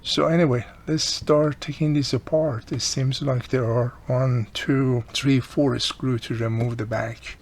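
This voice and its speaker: A man's voice: mid-range voice, fairly even intonation, unhurried, hardly any pauses, a little hiss or hum. A man casually explaining a hands-on repair as he works, speaking unscripted with non-native-sounding grammar and often dropped articles. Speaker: radio repair hobbyist and host.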